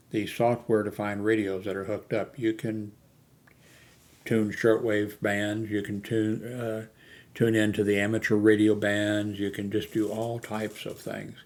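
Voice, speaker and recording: A man with a low voice and a flat, even delivery talks casually as a hobbyist vlogger. He rambles without a script, halting and searching for his next thought. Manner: rambling, halting, often losing his thread. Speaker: amateur radio hobbyist hosting a video channel. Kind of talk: casual unscripted vlog talk.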